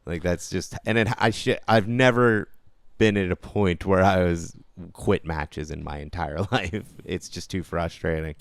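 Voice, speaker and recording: A man's voice: low-pitched, unhurried, no room sound, clean studio sound. A man co-hosting a podcast in casual gaming banter, swearing freely and speaking in stop-start phrases with trailing asides. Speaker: podcast co-host.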